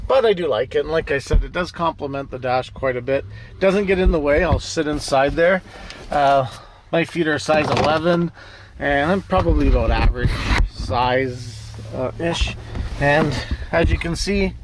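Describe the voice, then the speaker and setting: A man's voice: fairly high pitch, slight background noise. A man hosting a casual off-road vehicle vlog, talking through gear in a plainspoken, conversational way. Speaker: host of an off-road vehicle channel. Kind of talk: casual product demo vlog.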